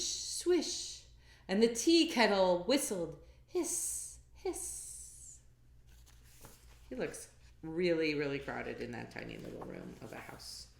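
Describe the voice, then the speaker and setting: A woman reads a children's story with slow, drawn-out, sing-song phrasing and very lively pitch. She speaks to young listeners in an encouraging, interactive way. The room adds an audible echo. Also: creaky. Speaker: storyteller reading a picture book to children. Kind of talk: animated read-aloud with asides to young listeners.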